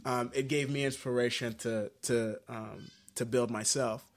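A man's voice: mid-range voice, steady tone, measured pace. A man from Haiti who is a musician, talking earnestly and reflectively in a casual interview, with many fillers and restarts. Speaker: musician guest.

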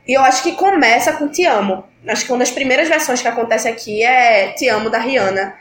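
A young woman leads a casual podcast chat, stuffy from a cold as she explains at length. Her voice sits a little high. The room is echoey, with faint background noise. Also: quick pace, fairly bright tone. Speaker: podcast co-host.

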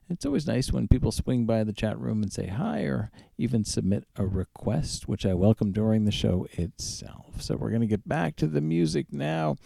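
A man's voice: lowish voice, creaky, mellow, no room sound. A man hosting a radio music show, talking casually between songs.

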